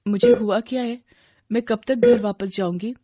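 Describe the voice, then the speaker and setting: A woman's voice: a little high, fairly even intonation, normal speed, warm tone, slight background noise, pitched above the performer's own voice, close to an everyday voice. A woman playing a terrified, bewildered mother in a hospital horror story, stammering and repeating her words. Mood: puzzled. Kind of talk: Hindi horror story acting.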